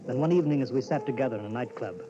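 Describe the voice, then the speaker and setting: A man's voice: mid-range voice, very fast, close to an everyday voice. Man acting bitter drunken husband, muffled old radio. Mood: brooding, paranoid. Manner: sarcastic, taunting, melodramatic. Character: bitter, sardonic drunken husband, brooding and paranoid. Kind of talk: 1940s-50s radio drama acting with brooding narration. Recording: muffled vintage radio recording with music.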